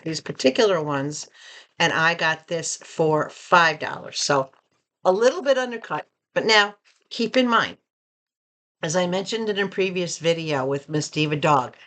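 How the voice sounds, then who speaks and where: A woman vlogger chatting casually through an unboxing, reading labels aloud and asking viewers questions, a bit hoarse from losing her voice. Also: lowish voice, fairly bright tone. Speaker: vlogger reviewing purchases.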